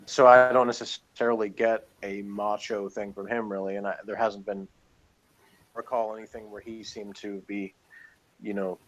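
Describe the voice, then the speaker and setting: A man's voice: lowish voice, flat delivery, moderate pace, low-quality recording. A man in a casual, unscripted review chat, speaking in a hesitant, rambling way as he thinks aloud.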